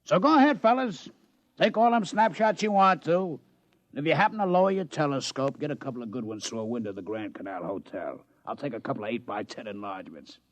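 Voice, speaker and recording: Guy doing a wisecracking casino boss, pitch jumping all over, dry old radio sound. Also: expressive, no room sound, close to an everyday voice. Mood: brash. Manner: fast-talking, wisecracking, tough-guy slang. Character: brash male casino boss and town councilman. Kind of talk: 1950s radio drama acting.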